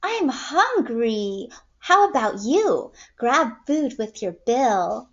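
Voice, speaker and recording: A young woman teacher reading aloud to kindergartners in an expressive storytelling way, recorded indoors on a computer mic. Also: fairly high pitch, drawn-out words, highs cut off. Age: young adult. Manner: expressive, clearly paced storytelling for children. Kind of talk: read-aloud lesson for young children. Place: small room at home, indoors.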